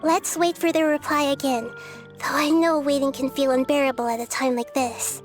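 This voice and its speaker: A woman voicing a wise, gentle young girl deity in a video game, speaking in an earnest, measured, articulate way. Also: sits high, little pitch movement, close to an everyday voice. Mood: reassuring. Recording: game music behind.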